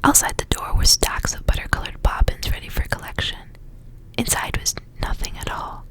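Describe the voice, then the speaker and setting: A woman whispering softly as she reads aloud in an ASMR style. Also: moderate pace. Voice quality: soft unvoiced whisper. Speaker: ASMR reader and channel host. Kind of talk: whispered ASMR storybook reading with casual asides.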